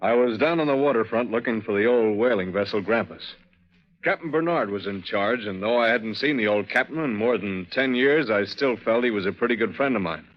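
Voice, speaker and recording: A man's voice: on the low side, fairly quick, natural voice. Green young seafarer, played by a man: earnest, easygoing, plain-spoken, 1940s radio drama, dull vintage recording. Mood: reminiscing. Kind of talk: 1940s radio drama acting, first-person narration and dialogue.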